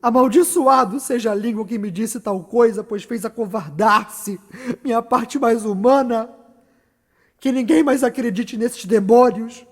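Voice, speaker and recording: High-pitched tormented tyrant in long reverb. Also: male voice, a little slow, exaggerated voice. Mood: tense, defiant. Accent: Brazilian. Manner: dramatic, declamatory, swinging from murmur to cry. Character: tormented, defiant, guilt-ridden male tyrant haunted by bloody memories. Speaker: stage actor. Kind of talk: theatrical Shakespearean monologue. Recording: long reverberant echo around the voice.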